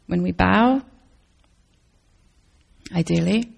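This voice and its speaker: Woman, Zen teacher, low, gravelly, flat, measured. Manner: thoughtful, searching, with restarts and soft laughs. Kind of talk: dharma talk Q&A answers.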